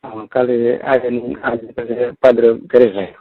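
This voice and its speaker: A man's voice: medium pitch, little pitch movement, unhurried. A man with a Marwari accent chatting casually in a private phone call, recorded over a narrow, tinny phone line. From Rajasthan, India.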